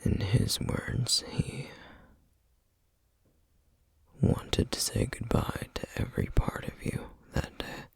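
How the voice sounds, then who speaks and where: A man playing a solemn, weary, duty-bound flame demon in an ASMR roleplay, whispering breathily and haltingly. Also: a little mellow, dry recording, close to an everyday voice. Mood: somber, tender. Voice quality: breathy, husky whisper with gravelly edges. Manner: slow, halting, measured delivery with heavy pauses. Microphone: close microphone, dry sound.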